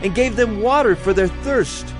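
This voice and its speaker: A man plays a devout ancient Levite in an audio Bible drama, earnestly declaiming a prayer. The voice is high, and music runs clearly underneath. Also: moderate pace, natural voice. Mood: grateful reverence. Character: devout ancient Levite reciting his people's history in prayer.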